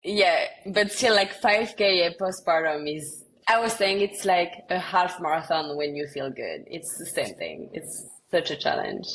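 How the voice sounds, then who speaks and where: A woman co-founder chatting casually on a podcast, friendly and talkative, sometimes trailing off quietly. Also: on the low side, expressive, unhurried, hardly any pauses, slight background noise, rough recording. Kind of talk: casual chat.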